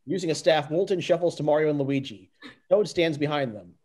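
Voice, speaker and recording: A man's voice: fairly high pitch, a little fast, darkish tone. A man reading stage directions aloud in an amateur script narration, often stumbling and restarting. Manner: stumbles and restarts over the text.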